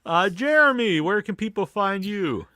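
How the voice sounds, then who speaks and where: A male podcast host with a fairly high voice and very animated pitch. He chats casually with guests, and the sound is dry with little room to it. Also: moderate pace, dry recording. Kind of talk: casual chat.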